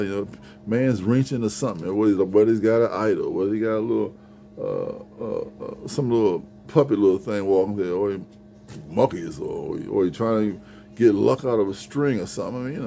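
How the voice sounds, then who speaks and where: A man's voice: fairly low pitch, creaky, warm tone. A middle-aged man giving an informal, earnest sermon on prayer, talking into a phone held at arm's length in a small shelved room. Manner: earnest, repetitive, conversational preaching. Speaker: preacher. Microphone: phone camera at arm's length.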